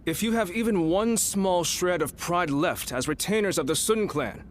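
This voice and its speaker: A man's voice: high voice, a little hiss or hum, lightly acted. Formal, commanding man acting as a military strategist. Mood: rousing, impassioned. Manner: formal, measured, deliberate. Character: shrewd, commanding male military strategist of ancient China. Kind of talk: video game cutscene acting.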